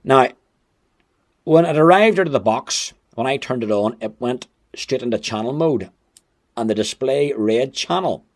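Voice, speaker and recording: A man's voice: mid-range voice, expressive, unhurried. A man giving a casual, chatty and wry hands-on gadget review. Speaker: amateur radio gadget reviewer. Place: indoors at home.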